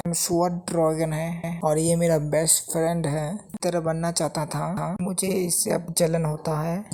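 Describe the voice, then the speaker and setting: A woman's voice: on the low side, warm tone. A woman voicing a weak, self-pitying young male monster hunter in a story recap, brooding and self-reproachful.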